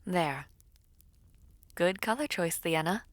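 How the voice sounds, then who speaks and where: A woman's voice: lowish voice, animated, slow pace, dry recording. A woman playing a protective, bossy but caring princess in an audio roleplay drama. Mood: brisk, caring.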